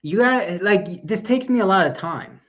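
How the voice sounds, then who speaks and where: A man's voice: sits high, lively intonation, normal speed, fairly bright tone, lo-fi sound. A man co-hosting a running podcast in casual chat, goofy and full of corny jokes delivered with mock pride. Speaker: podcast co-host.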